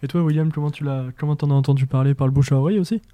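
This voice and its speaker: A man's voice: on the high side, fairly even intonation, very fast, mellow, no echo. A young man co-hosting a local radio show, asking the guests questions. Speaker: radio co-host. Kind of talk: casual radio interview.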